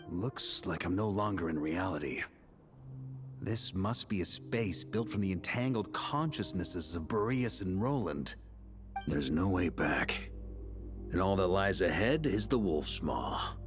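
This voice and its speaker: Very deep-voiced rugged knight commander. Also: male voice, exaggerated voice. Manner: laid-back, blunt, informal. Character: rugged, confident veteran knight commander, wry and unshaken. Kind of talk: dramatic video game voice acting. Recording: music under the voice. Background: game music.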